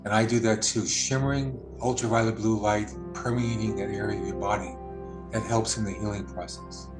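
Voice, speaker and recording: A man leading a guided healing meditation, speaking slowly and soothingly with long pauses. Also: fairly low pitch, steady tone, loud music behind the voice.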